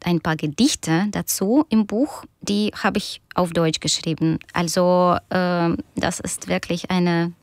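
Slow, thoughtful woman with a Russian-speaker's German. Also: fairly low pitch, no echo. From Ukraine. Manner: thoughtful, many pauses and fillers. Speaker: guest author and doctor. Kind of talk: radio interview answers.

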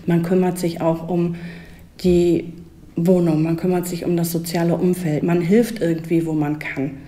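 A woman who owns a company, answering interview questions in a lively way and laughing easily. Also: fairly low pitch, moderate pace, echoey room. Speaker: company owner. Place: company office.

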